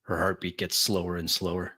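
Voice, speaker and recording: Low-voiced man narrating dramatic roleplay. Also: level tone, background noise. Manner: deliberate, broken phrasing with long pauses. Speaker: tabletop roleplay player. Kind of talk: dramatic improvised first-person narration.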